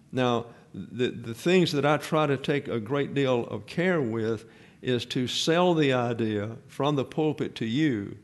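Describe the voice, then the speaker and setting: A male pastor gives a Bible teaching sermon in an explanatory, conversational way, stopping often with fillers and restarting phrases. His voice sits at an ordinary middle pitch. He presses firmly on the key commands he wants the congregation to take home.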